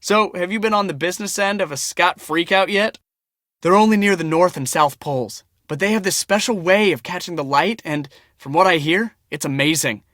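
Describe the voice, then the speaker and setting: A young male storm chaser and photographer in a game. He is enthusiastic and casual, with a slightly high, forceful bright voice. The recording is very dry, with no room sound. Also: normal speed, no echo. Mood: confiding. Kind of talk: casual scripted game dialogue.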